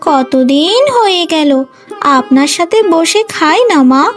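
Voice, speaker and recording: Scheming, bossy daughter-in-law for animation, played by a woman; high register, pushy and wheedling. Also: expressive, slow pace, soft background music. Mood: sugary, coaxing. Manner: domineering, wheedling. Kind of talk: cartoon voice acting.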